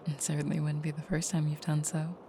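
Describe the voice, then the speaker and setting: A woman playing a tender, soft-spoken vampire girlfriend in an intimate audio roleplay, with a breathy near-whisper and gentle, slightly formal phrasing. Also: low-pitched, flat delivery, fairly quick, slight background noise. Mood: tender, soothing.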